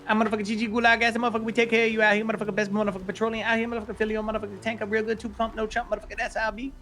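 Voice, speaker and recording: Roleplay character: man doing a rambling ex-con, very high and sharp, rapid-fire, swears every other word. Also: level tone, slight background noise. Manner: swears constantly, rambling and halting. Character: profane rambling streetwise ex-convict man. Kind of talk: online roleplay game character.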